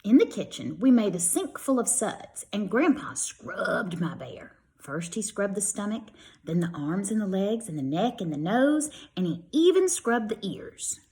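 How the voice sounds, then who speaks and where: A woman's voice: mid-range voice, sing-song, measured pace, dark tone. A woman reads a storybook aloud like a teacher to young children, expressively, with casual asides. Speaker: kindergarten teacher reading to pupils.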